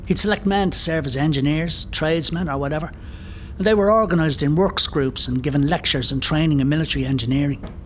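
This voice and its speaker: An Irish man playing an earnest rebel volunteer in an audio play, speaking in a measured way. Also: on the high side, fairly bright tone, slight background noise, close to an everyday voice. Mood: admiring, earnest.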